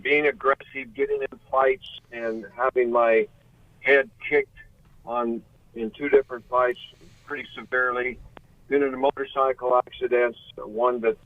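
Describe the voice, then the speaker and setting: A man's voice: medium pitch, dry recording. Late-sixties male caller on a car phone, candid, rambling delivery, tinny dry line. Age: 60s. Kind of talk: call-in radio chat. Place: in a car pulled over.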